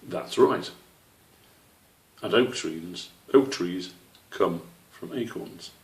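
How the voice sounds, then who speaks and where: A man voicing an old father squirrel in a children's story, stern but patient, speaking in a measured, gently questioning way. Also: deep voice, animated, exaggerated voice. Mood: wise, instructive. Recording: roomy, slightly distant microphone.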